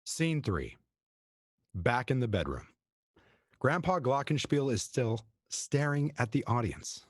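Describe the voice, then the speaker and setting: A man's voice: medium pitch, sing-song, slow, no echo, studio quality. A man reading stage directions as the narrator of a scripted play.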